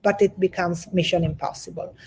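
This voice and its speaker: A woman with an Italian accent, a guest coach speaking over a video call in an earnest, halting way with many restarts. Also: on the low side, steady tone, husky. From Italy. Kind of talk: livestream interview.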